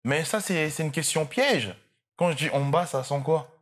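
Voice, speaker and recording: A man's voice: on the high side, normal speed. A young man from DR Congo with a Congolese French accent, a content creator chatting easily as an interview guest while he searches for his words. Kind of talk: casual interview chat.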